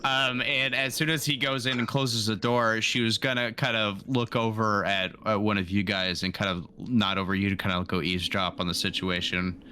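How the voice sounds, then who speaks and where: A man's voice: fairly low pitch, steady tone, normal speed, hardly any pauses, bright, forceful tone, a little hiss or hum. A man playing a tabletop roleplaying game, chatting casually and thinking aloud as he describes what his character does.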